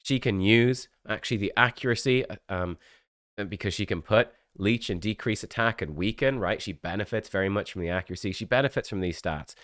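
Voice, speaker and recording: A man with a stuffy, slightly rough voice from a cold, giving a chatty, rambling breakdown of a game event as a gaming channel host. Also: fairly low pitch, brisk, a bit bright, dry recording, clean studio sound. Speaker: gaming YouTuber. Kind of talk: casual game commentary.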